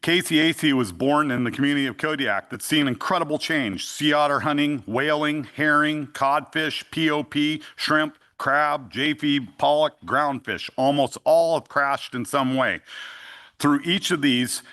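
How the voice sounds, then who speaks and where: A man with a big, forceful voice reads his testimony before a council. He speaks deliberately from prepared remarks into a podium microphone in a meeting room. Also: a little high. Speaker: fishing association representative. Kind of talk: public testimony.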